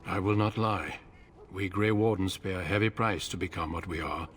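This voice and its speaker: A solemn, authoritative warden commander, voiced by a man, measuring every word. His voice sits low and steady. Faint ambient hiss lies under him. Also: moderate pace, natural voice. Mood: stern. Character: grave older male warden commander.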